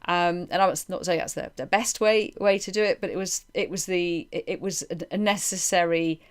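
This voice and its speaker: A woman with a fairly low voice speaks as a podcast interviewer. Her delivery is informal and conversational, reworking each thought aloud as she explains it. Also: steady tone, brisk.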